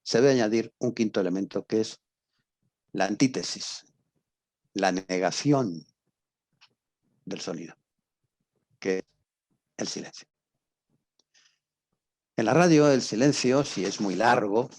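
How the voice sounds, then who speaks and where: A middle-aged man with a Spanish accent teaches in a lowish voice, recorded close and fairly dry. He explains didactically, repeating phrases and leaving pauses around the words he wants to stress. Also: no echo. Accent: Spanish from Spain. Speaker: university lecturer in radio drama. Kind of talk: informal lecture with examples.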